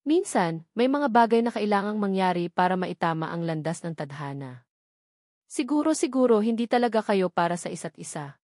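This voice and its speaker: A woman's voice: medium pitch, expressive, normal speed, a little mellow, no room sound, studio quality, lightly acted. A woman voicing a possessive, scheming female rival in an audio story, self-righteous under sugary sympathy. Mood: bitter.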